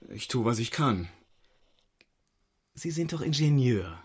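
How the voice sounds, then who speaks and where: A curt, impatient mission leader in a sci-fi radio play. His husky voice moves up and down animatedly as he gives orders. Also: mid-range voice, big pitch swings, slow pace, heavily acted. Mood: brisk, commanding. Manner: curt, commanding. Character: commanding, impatient male agent leading a cyber rescue mission. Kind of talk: sci-fi radio drama acting.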